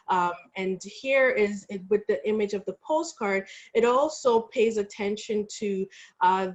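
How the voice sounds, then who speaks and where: A woman panelist presenting historical material in an informal, explanatory and halting way. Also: mid-range voice, highs cut off.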